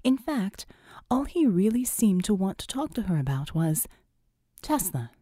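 A woman's voice: mid-range voice, big pitch swings, measured pace, mellow, no echo, clean studio sound. A woman reading scripted fiction expressively as an audiobook narrator.

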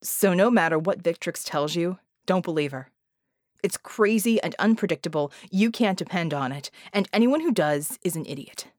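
A woman voicing a bitter, guilt-ridden man in an audiobook, gruff and emphatic in manner. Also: lowish voice, expressive, normal speed, no echo, clean studio sound, close to an everyday voice. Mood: hardened, scornful. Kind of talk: dramatized audiobook reading.

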